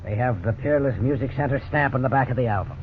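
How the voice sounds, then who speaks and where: Man playing a calm policeman on tinny radio. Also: medium pitch, natural voice. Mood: firm, patient. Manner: measured, courteous, firm. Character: calm, polite, persistent police officer questioning suspects. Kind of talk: 1950s radio drama acting. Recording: tinny, noisy 1950s radio recording.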